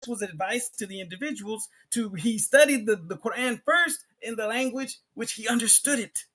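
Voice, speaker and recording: A man giving an Islamic sermon as a public address, speaking emphatically in short phrases with pauses, repetition and rhetorical questions. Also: sits high, a bit bright. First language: English. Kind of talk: sermon, public religious lecture.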